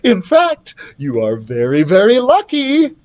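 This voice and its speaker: A woman voicing a doting, excitable storybook queen who gushes in drawn-out exclamations. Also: lowish voice, dull audio, pitched above the performer's own voice, exaggerated voice. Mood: thrilled. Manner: gushing, drawn-out exclamations, talks over others. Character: excitable, gushing, doting storybook queen mother, hard of hearing.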